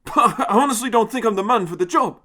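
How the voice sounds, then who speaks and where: A man's voice: high voice, expressive, fast, pushed and bright, pitched above the performer's own voice, full character voice. A man voicing an earnest, anxious young man in an audio story, speaking in a polite, hesitant, pleading way. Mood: careful, uneasy. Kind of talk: audio short story character.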